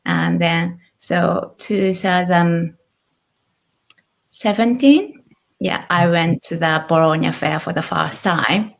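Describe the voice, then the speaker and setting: A woman in her thirties with a Japanese accent, talking as a guest in a relaxed interview. Her voice sits a little low for a woman. She thinks aloud with small restarts. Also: takes it slowly, gravelly. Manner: thoughtful, with small restarts and light laughs. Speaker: guest, author and illustrator. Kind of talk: casual interview conversation.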